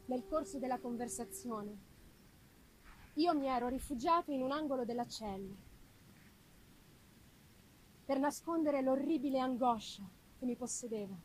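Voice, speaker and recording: A woman narrating as a guilt-tormented man in a brooding, dramatic literary reading with deliberate phrasing. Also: high voice, slight background noise, voice pushed down, natural voice, rough recording. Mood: anguished. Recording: live music behind.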